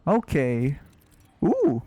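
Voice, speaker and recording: A man doing casual live gameplay commentary, exclamatory and quick to swear. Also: medium pitch, wildly swooping pitch, dark tone, dry recording. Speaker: game streamer.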